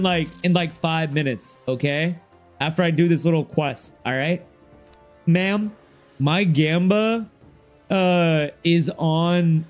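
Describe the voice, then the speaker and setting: A young man of middling pitch chats loosely with his stream audience, full of slang, his sentences wandering and often fading off softer. He speaks into a desk mic at his streaming setup while faint game music plays behind him. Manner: rambling and loose, heavy slang, trails off quieter. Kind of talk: casual livestream chat, reading chat aloud.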